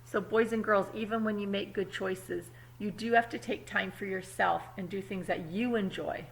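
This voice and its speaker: A woman, apparently a teacher, reads a picture book aloud to children expressively, pausing to explain words simply and check in with her young listeners. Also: medium pitch, fairly even intonation, creaky, poor audio. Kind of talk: children's storybook read-aloud with asides.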